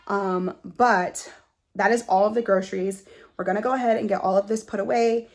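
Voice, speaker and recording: A young woman vlogging casually in her home kitchen, chatty and upbeat with a slightly hoarse voice. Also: mid-range voice, steady tone, normal speed, a little mellow. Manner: chatty, upbeat, lots of fillers. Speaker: family vlogger. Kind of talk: casual chat to camera.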